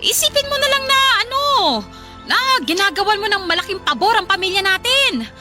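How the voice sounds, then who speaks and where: A woman's voice: very high-pitched, expressive, fairly quick. A Filipino woman playing a poor, debt-ridden mother in a radio drama, coaxing and guilt-tripping as she presses her case. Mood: insistent, pressuring. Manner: coaxing, guilt-tripping, hesitant then insistent. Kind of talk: Tagalog radio drama acting. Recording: loud music under the voice.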